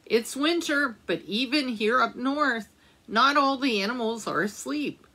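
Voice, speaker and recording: A woman librarian reading a picture book aloud at storytime, slowly and gently, pausing to ask the children questions. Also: fairly high pitch, husky, rough recording.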